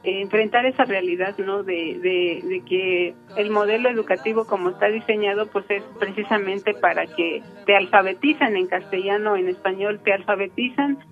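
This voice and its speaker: A woman's voice: mid-range voice, narrow, tinny audio, faint music behind. Thoughtful Mexican woman, Purépecha native speaker. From Michoacán, Mexico. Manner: thoughtful, unhurried, pauses often on fillers. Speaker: interviewee. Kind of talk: reflective personal testimony.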